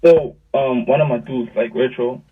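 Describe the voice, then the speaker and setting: A man's voice: medium pitch, normal speed. A young South African man chatting casually in slangy storytelling style, acting out bits of dialogue, over a video call. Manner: slangy, animated retelling with quoted dialogue. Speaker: music artist, interview guest. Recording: thin phone-like video call, distant.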